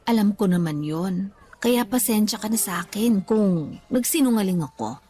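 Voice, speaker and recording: A woman playing a forgiving, self-effacing older mother in a radio drama, meek and gently defensive. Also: mid-range voice, expressive, moderate pace, close to an everyday voice. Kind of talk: Tagalog radio drama acting.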